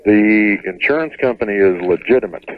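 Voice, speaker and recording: Male radio caller. Lowish voice, halting careful explanation, narrow tinny telephone sound, no room echo. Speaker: caller to radio advice show. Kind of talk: hesitant, careful explanation over the phone.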